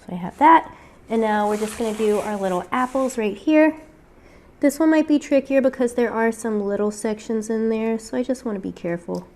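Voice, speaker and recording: A woman's voice: a little high, a little fast, a little mellow, slight background noise. Woman with a cold-weakened voice. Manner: chatty, with frequent fillers and thinking aloud. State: weak voice from getting over a cold. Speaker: crafting tutorial host. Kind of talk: casual step-by-step tutorial.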